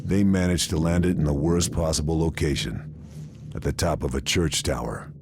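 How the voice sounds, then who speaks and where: An actor playing a hardened American wartime sniper officer, narrating a war game in a terse, world-weary, laconic manner. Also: male voice, rumbling low, moderate pace, noisy background. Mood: terse, resolute. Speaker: narrator and protagonist.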